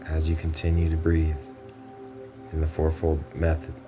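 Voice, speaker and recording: A man with an extremely low voice guides a meditation in measured, instructional phrases. His intonation stays fairly flat, with pauses between short phrases. Music plays clearly behind him.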